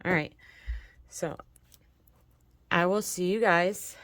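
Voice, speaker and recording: A woman from Michigan chats through a crafting tutorial in a friendly, encouraging way. Her voice is lowish and a little congested from allergies. The sound is dry and close to the mic. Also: fairly even intonation, takes it slowly, a bit bright, dry recording. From Michigan, USA. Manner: chatty, encouraging, talks while working. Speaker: crafting channel host. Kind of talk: casual crafting tutorial chat.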